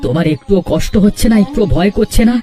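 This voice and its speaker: A man's voice: sits high, lively intonation, fast, mellow, soft background music. A man voicing an earnest, troubled younger brother and husband in a cartoon, with a pleading way of talking. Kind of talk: Bengali cartoon voice acting.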